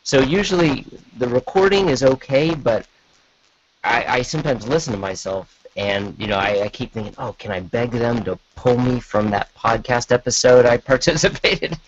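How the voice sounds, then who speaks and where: Husky self-deprecating man, lo-fi audio. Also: mid-range voice, normal speed. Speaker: podcaster, panel guest. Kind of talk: casual chat. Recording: dull, lo-fi call audio.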